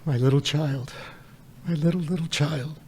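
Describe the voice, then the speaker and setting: An actor playing a gentle, poor father who speaks tenderly to his family about his lost little son in a live radio play. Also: a little high, fairly even intonation, normal speed, slight background noise, natural voice. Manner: tender, soft, choked-up.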